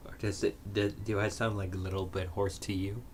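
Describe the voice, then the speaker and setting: A man with a fairly low voice chats casually over a card game, explaining rules in halting bursts full of fillers. There is a faint hum of room ambience behind him. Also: little pitch movement. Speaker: stream co-host. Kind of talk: casual chat while playing a card game. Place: game store.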